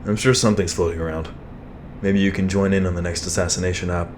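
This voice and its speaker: A man playing a laid-back, protective soldier in a sci-fi audio drama, with a wry, calm, reassuring manner. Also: low voice, fast, slight background noise, lightly acted.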